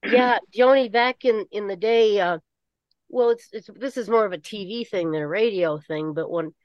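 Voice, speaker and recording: An older woman chatting casually on a remote call, halting and repeating her words as she goes. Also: medium pitch, no echo. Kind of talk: casual group chat. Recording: dull, band-limited call audio.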